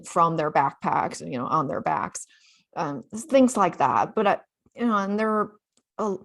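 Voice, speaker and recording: A woman author, a native Chinese speaker born in China, telling her travel story in a relaxed interview, full of "you know" fillers. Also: fairly low pitch. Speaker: author guest.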